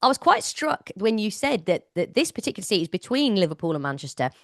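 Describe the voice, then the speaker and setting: A woman hosting an interview in casual chat, enthusiastic and lively, with hesitant restarts. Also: medium pitch, quick pace, gravelly, no room sound, studio quality. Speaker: host.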